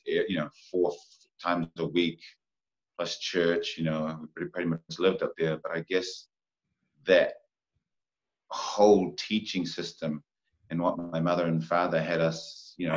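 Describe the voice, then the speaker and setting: Deep-voiced New Zealand man, halting. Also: little pitch movement, lo-fi sound. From New Zealand, Samoan-born. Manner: halting, many fillers and restarts. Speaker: guest, film actor. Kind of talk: casual interview chat.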